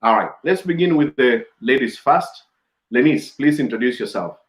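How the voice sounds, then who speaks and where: A man's voice: mid-range voice, expressive, moderate pace. Chatty man, echoey room. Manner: chatty, laughs often, many fillers. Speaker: host. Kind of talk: casual video-call interview. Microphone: video call.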